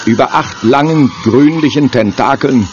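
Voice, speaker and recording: A man narrating a lurid horror radio play gravely and dramatically from a script. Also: medium pitch, moderate pace, natural voice. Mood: grim, dramatic. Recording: dull muffled audio over music.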